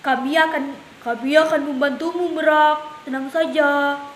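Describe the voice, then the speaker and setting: A woman's voice: high voice, steady tone, unhurried. A woman voicing a kindly, helpful storybook buffalo in an earnest, emphatic, reassuring way. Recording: echoey room with hiss.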